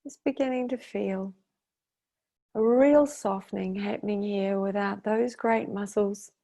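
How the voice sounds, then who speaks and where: A woman guiding a meditation as its teacher, speaking in a soothing, unhurried way with long pauses. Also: mid-range voice, steady tone, creaky, mellow. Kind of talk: guided meditation.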